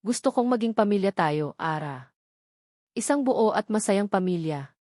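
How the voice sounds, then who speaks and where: A woman's voice: mid-range voice, expressive, moderate pace, a little mellow, dry recording, studio quality, natural voice. A woman with a Filipino accent voicing an earnest, devoted man in love in a narrated romance story, tender and pleading.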